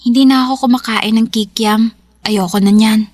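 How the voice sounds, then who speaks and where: A woman's voice: on the high side, fairly even intonation, normal speed, a little mellow, no echo, studio quality, lightly acted. A woman playing a sullen young schoolgirl, curt and dismissive, in a Tagalog radio drama. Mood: cold, dismissive.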